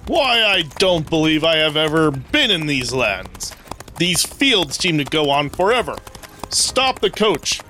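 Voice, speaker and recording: A woman's voice: deep voice, big pitch swings, a little slow, sharp tone, a little hiss or hum, pitched below the performer's own voice, exaggerated voice. A woman voicing a pompous, imperious storybook king who declaims grandly. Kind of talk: children's storybook character voice.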